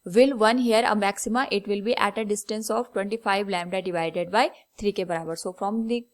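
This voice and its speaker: A woman's voice: mid-range voice, steady tone, drawn-out words. Indian woman teaching step by step. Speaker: physics teacher. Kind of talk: step-by-step lesson explanation, switching between Hindi and English.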